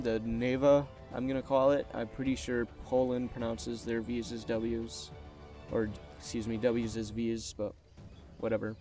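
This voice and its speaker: A man talks at an unhurried, drawn-out pace, wandering between thoughts and correcting himself as he goes. His voice sits at an ordinary middle pitch, recorded at a home desk mic with quiet music under it. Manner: relaxed, rambling, many fillers and self-corrections. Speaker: gaming YouTuber. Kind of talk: casual game deck walkthrough.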